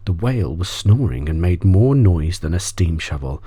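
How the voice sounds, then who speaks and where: A man narrates a bedtime story in a very low, dark voice. His delivery is soft ASMR storytelling that often slips toward a whisper. The tone is breathy and husky, captured close and dry. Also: sing-song, normal speed, no echo. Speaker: audiobook reader.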